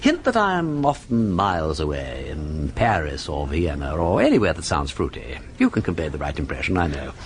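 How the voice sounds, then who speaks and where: An actor playing a breezy, witty gentleman sleuth in a radio drama, with an upper-class English accent and a suave, facetious drawl. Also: rumbling low, wildly swooping pitch, normal speed, hardly any pauses, a little hiss or hum, full character voice. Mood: jaunty. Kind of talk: BBC radio drama acting.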